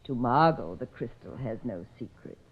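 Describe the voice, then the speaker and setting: An actress playing a haughty phony fortune-teller in an old radio drama, speaking in grand, portentous tones. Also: female voice, very deep voice, animated, husky, full character voice. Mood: mystical, intoning. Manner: grand, portentous, slow-spoken. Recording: old radio recording, narrow tinny band.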